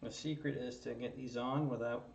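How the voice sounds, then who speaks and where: A man's voice: medium pitch, fairly even intonation, moderate pace, creaky, very noisy. A man hosting his maker channel from a home basement workshop, chatting casually and joking at his own expense as he assembles a kit. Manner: chatty and self-joking, chuckles often. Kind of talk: casual talk while assembling a 3D printer kit. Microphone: camera on a tripod across the table. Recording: roomy, distant and slightly dull.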